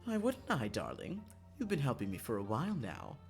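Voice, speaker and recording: A man's voice: medium pitch, wildly swooping pitch, moderate pace, faint music behind. A man putting on a coy, flirtatious dandy. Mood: playful, airy.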